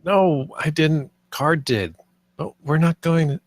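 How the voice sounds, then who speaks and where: A man's voice: fairly high pitch, slow, no echo, studio quality. A man at a script table read, playing a polite, earnest theme park project leader. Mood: defensive.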